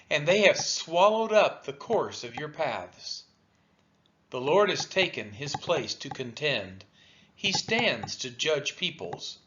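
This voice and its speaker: A man reads scripture solemnly at a slow, deliberate pace, pausing between phrases. His voice sits at a middle pitch. The recording is dull, with clear noise behind him. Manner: measured, deliberate phrasing with pauses. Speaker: scripture reader for a church read-along. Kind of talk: solemn scripted Bible reading.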